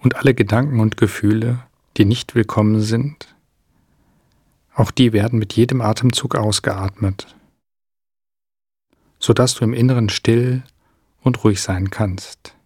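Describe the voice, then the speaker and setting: Low, husky man guiding a meditation. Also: dry recording, clean studio sound. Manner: calm, soothing, measured with long pauses. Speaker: guided relaxation narrator. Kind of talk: guided meditation, scripted narration.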